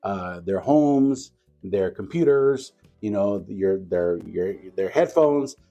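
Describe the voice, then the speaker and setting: A man in his forties from Guatemala, a radio station owner telling his story in a relaxed, unhurried way with plenty of fillers. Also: lowish voice, lo-fi sound, faint music behind. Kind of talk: casual interview talk.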